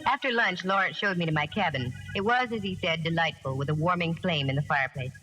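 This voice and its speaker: An American woman playing a wry, self-assured female private detective in a 1940s radio drama, brisk and plain-spoken. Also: on the low side, sharp tone, soft background music. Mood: wry narration. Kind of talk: 1940s radio acting with first-person narration. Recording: noisy old 1949 radio recording.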